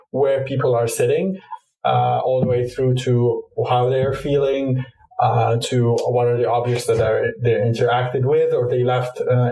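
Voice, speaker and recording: A man's voice: medium pitch, mellow. A man from Egypt, explaining his work as an interview guest in a hesitant, self-correcting way. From Cairo, Egypt. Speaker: product manager, podcast guest. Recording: roomy echo, distant from mic.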